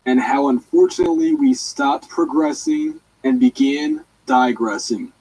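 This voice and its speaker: A man's voice: a little high, gravelly, warm tone, rough recording. A man reading a written letter aloud as an amateur narrator, with emphatic, declarative delivery.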